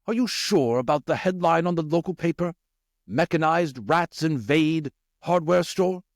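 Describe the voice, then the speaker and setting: A man voicing an earnest, commanding older rat leader for an audiobook, with a measured, questioning delivery. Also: fairly high pitch, no room sound, clean studio sound, natural voice. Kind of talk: audiobook character voice.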